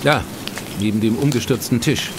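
An actor playing a grudging, matter-of-fact police inspector in a German crime audio drama, dry and businesslike. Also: male voice, mid-range voice, normal speed, background noise, lightly acted. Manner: dry, businesslike, disdainful toward outsiders.

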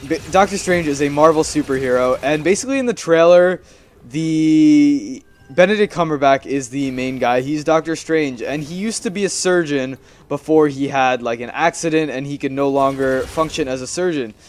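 A man's voice: on the high side, normal speed. A young man giving casual, rambling gameplay commentary, chatty and playfully repeating his phrases. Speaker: gaming video host.

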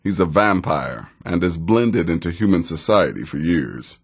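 A sardonic, deadly assassin from a fantasy game, a middle-aged desert warrior. His voice is extremely low and gravelly, with a slow, measured pace. The dry delivery still swings expressively and theatrically. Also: expressive, heavily acted. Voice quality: very deep, gravelly. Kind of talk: fantasy video game character dialogue.

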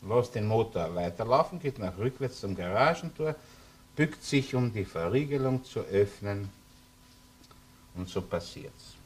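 Shrewd Viennese police inspector. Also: male voice, fairly low pitch, expressive, a little slow, slight background noise. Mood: reasoning, businesslike. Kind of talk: German-language radio crime drama acting.

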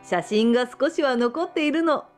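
A woman's voice: sits high, moderate pace, soft background music, close to an everyday voice. A woman playing an amused middle-aged mother of a grown man in a radio drama. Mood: fond, explaining. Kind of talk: radio drama acting in Japanese.

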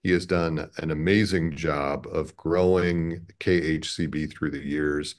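A man's voice: extremely low pitch, steady tone, a little slow. A man in his fifties from Chicago with an American accent, speaking as a guest in a conversational interview. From Chicago, American Midwest. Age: 50s. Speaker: radio network president, interview guest. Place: indoor room, on a video call.

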